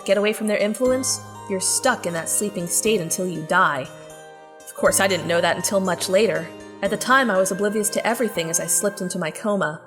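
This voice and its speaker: A woman's voice: medium pitch, natural voice. An actress playing a plucky, sardonic girl traveler who tells her story in a wry, conversational way in an audio drama. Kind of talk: audio drama narration and dialogue.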